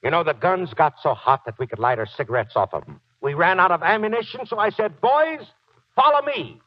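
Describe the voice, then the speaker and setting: An actor playing a gruff, boastful but soft-hearted old Marine sergeant and husband in a 1940s radio drama, with slangy, folksy, ungrammatical talk. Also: male voice, sits high, lively intonation, a little fast, no echo. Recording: dull, lo-fi 1940s radio recording.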